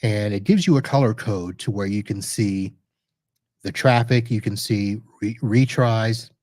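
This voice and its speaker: A man hosting a podcast, casually walking through a software demo live while sharing his screen, chatty and correcting himself as he clicks around. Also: on the low side, unhurried, no room sound. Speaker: podcast host reviewing network gear.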